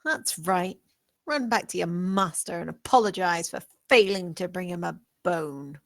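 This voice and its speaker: A woman's voice: lowish voice, measured pace, vocal fry, fairly bright tone, dry recording. A woman playing a defiant, determined young maid in a dramatic script read.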